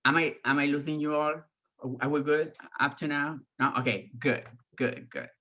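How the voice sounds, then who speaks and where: A middle-aged woman from Argentina with an Argentine Spanish accent, giving a candid, profane talk to an online audience over a video call, with many fillers and pauses. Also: sits low, steady tone, sharp tone. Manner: mocks others in raised voices when quoting them. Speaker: guest speaker.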